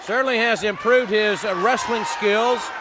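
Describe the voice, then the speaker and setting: A man's voice: high voice, steady tone, normal speed, heavy background noise. An American man giving emphatic play-by-play as host of a televised wrestling show. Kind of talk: live play-by-play sports commentary. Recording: old TV broadcast with music and crowd behind. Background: music and arena crowd.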